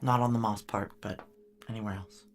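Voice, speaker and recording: An actress playing a tough, wary female security chief in a noir science fiction audio drama, speaking in a clipped, deadpan, commanding way. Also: very deep voice, fairly quick, faint music behind. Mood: dry, guarded.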